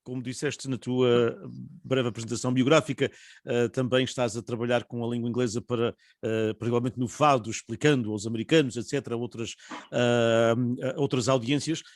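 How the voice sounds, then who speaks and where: A man's voice: mid-range voice, steady tone, brisk, no echo, clean studio sound. Moderating man with winding questions. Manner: long winding questions with many hesitations. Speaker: panel moderator. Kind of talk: online panel interview.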